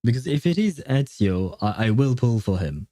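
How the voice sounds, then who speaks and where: A man's voice: mid-range voice, very animated, normal speed, mellow, no echo. A man chatting casually as a game livestreamer, rambling and hesitant as he thinks aloud.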